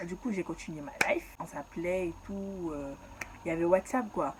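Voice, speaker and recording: A woman's voice: on the low side, brisk, very noisy. A young woman from Ivory Coast telling her own story in a casual, slangy way and laughing at herself.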